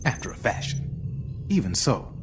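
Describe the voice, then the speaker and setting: A man's voice: medium pitch, sing-song, measured pace, music in the background. An actor with an American accent playing a slick, streetwise male arms dealer in a video game, folksy and sly as he explains. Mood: confiding. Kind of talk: dramatic video game voice acting.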